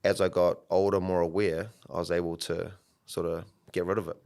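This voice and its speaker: A man's voice: sits low, little pitch movement, a little fast, gravelly, darkish tone. A young adult man, co-hosting a podcast in a reflective, easygoing way. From New Zealand. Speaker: podcast co-host, coach. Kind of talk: casual podcast chat.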